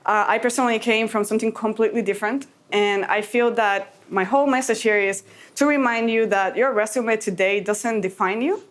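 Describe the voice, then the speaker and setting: A woman from Puerto Rico giving an earnest, encouraging talk to a conference audience. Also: mid-range voice. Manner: earnest, encouraging, a little emotional. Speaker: conference speaker.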